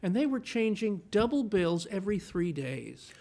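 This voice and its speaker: A man's voice: high-pitched, big pitch swings, normal speed, vocal fry, mellow. Upbeat Chilean-born man in his fifties. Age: 50s. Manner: enthusiastic storyteller, repeats words when starting. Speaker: film critic, guest. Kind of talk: casual interview conversation.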